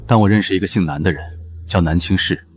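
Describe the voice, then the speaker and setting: Very deep-voiced, coolly commanding man an actor's role. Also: quick pace, a little hiss or hum, lightly acted. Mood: cool, factual. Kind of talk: dramatized dialogue in an animated web drama.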